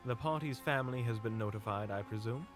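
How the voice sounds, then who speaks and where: A man's voice: medium pitch, fairly quick, over music, natural voice. A man playing a courteous, diplomatic hunter in a fantasy audio drama, with a polite, genteel manner. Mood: polite, calm. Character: courteous, diplomatic, smooth-talking monster hunter named Swan. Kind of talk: fantasy audio roleplay acting.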